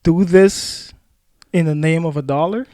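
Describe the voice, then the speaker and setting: A man with a high voice talks as a podcast co-host in a casual discussion. He often doubles back on phrases while making his argument. The sound is dry, with hardly any room on it. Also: unhurried, warm tone, dry recording.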